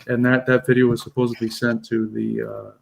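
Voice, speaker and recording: A Cuban man with a Cuban accent talks as a guest, telling a personal story in a halting, restart-filled way. His voice has a gravelly fry and stays fairly flat in pitch. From Cuba. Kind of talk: casual chat.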